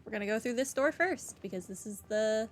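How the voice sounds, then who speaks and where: Woman gamer, slightly high, offhand commentary. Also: expressive, brisk. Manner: offhand, wry, narrates own actions. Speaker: game streamer. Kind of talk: casual playthrough commentary. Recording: game music behind.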